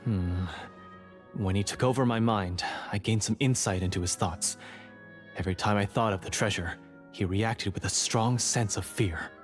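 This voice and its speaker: Man playing an earnest, low-voiced repentant adventurer. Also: moderate pace, natural voice. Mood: thoughtful. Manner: formal, solemn, sincere. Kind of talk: video game character acting. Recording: music playing behind the voice.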